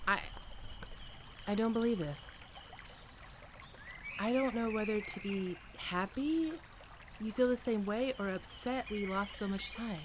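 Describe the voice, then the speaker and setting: A performer playing a gentle young best friend with a secret crush, speaking softly and hesitantly in an ASMR roleplay, comforting and tender. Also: mid-range voice, unhurried, long pauses, noisy background, natural voice. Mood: stunned. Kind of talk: soft-spoken ASMR roleplay.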